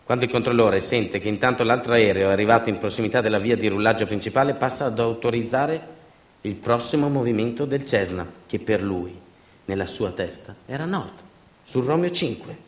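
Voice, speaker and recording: A man delivering a dramatic theatrical monologue, intense and rhythmic, with repetitions and deliberate pauses. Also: lowish voice. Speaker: stage actor and narrator. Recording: roomy, echoing sound.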